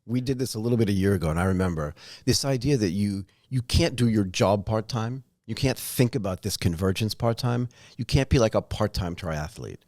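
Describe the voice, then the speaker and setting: A man's voice: on the low side, a little fast, hardly any pauses, a little mellow, no echo, clean studio sound. A man hosting a corporate podcast interview, upbeat and prompting his guests with questions. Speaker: podcast host.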